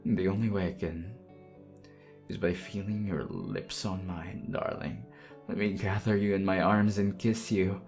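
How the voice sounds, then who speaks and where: A man's voice: sits low, expressive, measured pace, gravelly, music in the background, full character voice. A man playing an undead demon husband in an ASMR roleplay, obsessively loving and unhinged, theatrical in manner. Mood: pleading, yearning. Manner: theatrical, swinging from tender murmurs to desperate demands. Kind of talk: ASMR roleplay audio acting.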